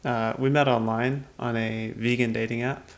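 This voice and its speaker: A man in his late twenties from England with an English accent, chatting casually in a low-key, hesitant way as a guest on a vlog. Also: little pitch movement, moderate pace. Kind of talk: casual chat.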